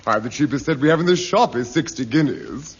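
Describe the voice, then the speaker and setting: A man's voice: medium pitch, lively intonation, fairly quick, slightly rough. An actor playing a stuffy, dismissive shop salesman, polite and clipped, in a 1950s British radio sitcom. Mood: politely disbelieving. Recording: very noisy, muffled 1950s radio recording.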